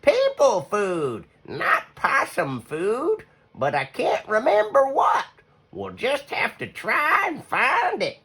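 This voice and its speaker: Man doing an excitable, high-voiced old granny possum. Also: breathy edge, exaggerated voice. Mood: excited, urgent. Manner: exclamatory, drawn-out words. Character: excitable old grandmother possum, kindly and encouraging. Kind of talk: children's storybook character voice.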